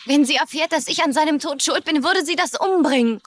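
Eine Schauspielerin spielt in einem synchronisierten TV-Drama eine junge, verschlossene und ängstliche Tochter, die angespannt und abwehrend spricht. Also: female voice, sits high, fairly quick, fairly bright tone. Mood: anguished, firm. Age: young adult. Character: anxious, guarded young daughter hiding a secret. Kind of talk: dubbed TV drama dialogue in German.

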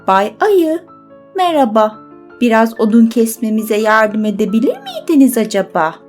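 A woman's voice: on the high side, big pitch swings, a little slow, a little mellow, soft background music. A woman voicing a polite, cheery little rabbit neighbour in a children's story, with sing-song requests. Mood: cheerful, polite.

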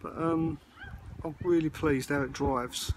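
A man's voice: a little high, fairly even intonation, unhurried, slight background noise. A man vlogging casually outdoors beside parked vans, talking in a rambling, plain-spoken way with plenty of fillers. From United Kingdom. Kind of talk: casual walkaround vlog.

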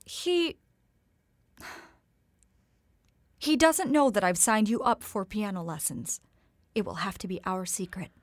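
A woman playing a tender, doting mother in an audio drama, with gentle, proper, slightly theatrical diction. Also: mid-range voice, very animated, normal speed, dry recording, studio quality, lightly acted. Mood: secretive, hesitant.

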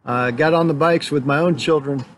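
A man's voice: on the high side, moderate pace, a bit bright, slight background noise. A middle-aged man giving an informal introduction to camera for a church video.

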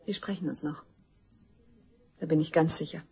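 A woman's voice: low voice, steady tone, normal speed, creaky, noisy background. An actress playing a determined young woman in a crime radio play, accusing and insistent. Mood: determined, demanding. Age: young adult. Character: grim, determined young woman seeking justice for her murdered mother.

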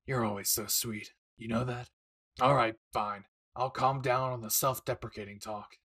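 A man playing a brash but devoted young husband and excited father-to-be in an intimate, teasing, tender audio roleplay. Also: mid-range voice, a little slow, slightly rough. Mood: warm, reflective.

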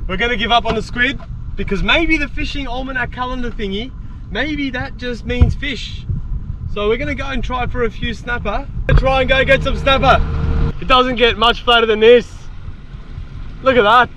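A man's voice: very high voice, moderate pace, long pauses. A young Australian man giving casual, laid-back vlog commentary in a matey, colloquial way while out on an open boat. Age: young adult. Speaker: fishing vlogger. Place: outdoors on a small open boat at sea. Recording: noisy outdoor recording on open water.

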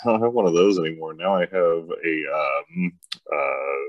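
A man's voice: low voice, moderate pace, dry recording, dull audio. A man in his forties, a tattoo artist guest, talking in a rambling way with many fillers over a video call. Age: 40s. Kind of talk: casual interview chat. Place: indoor room. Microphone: webcam on video call.

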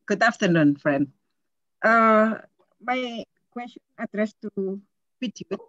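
An Indonesian woman asks her question haltingly, filling pauses and apologizing as she goes. Her video-call audio is dull and lo-fi, with a dry, close sound. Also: mid-range voice, expressive, a bit bright, no echo. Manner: hesitant, many fillers, apologetic. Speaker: conference participant asking a question.

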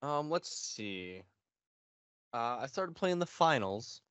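A man's voice: medium pitch, big pitch swings, takes it slowly, a bit bright, smooth, dry recording. An American man in his thirties, a podcast co-host chatting casually about games. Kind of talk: casual gaming chat. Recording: slightly dull, band-limited, dry sound.

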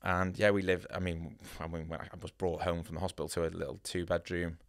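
A man's voice: deep voice, flat delivery, fast, gravelly. A man from North Wales, a tattooist, chatting as a podcast guest in a studio, upbeat and rambling with lots of "you know" and "yeah". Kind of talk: casual interview chat. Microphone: studio boom mic.

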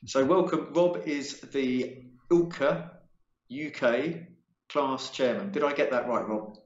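A man's voice: mid-range voice, takes it slowly. Older male co-host, chatty and upbeat, roomy lo-fi video-call sound. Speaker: show co-host. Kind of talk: casual video-call chat.

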